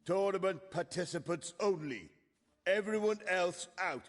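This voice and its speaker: An actor playing an officious, snooty tournament registrar in a video game, formal and pompous. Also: male voice, sits high, a little slow, big-room sound. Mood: loud announcing. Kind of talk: video game dialogue acting. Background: background music.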